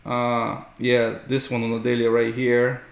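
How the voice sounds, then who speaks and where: Slow, gravelly man explaining charts. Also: mid-range voice, completely flat delivery. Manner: explanatory, often checks with "right?". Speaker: host, market analyst. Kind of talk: unscripted chart tutorial commentary. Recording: roomy, echoing room sound.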